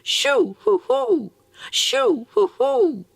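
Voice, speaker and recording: A man voicing a screech owl with piercing falsetto hoots and drawn-out, repeated cries. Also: wildly swooping pitch, gravelly, dry recording, heavily acted. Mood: eerie, shrill. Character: shrieking screech owl with glowing eyes.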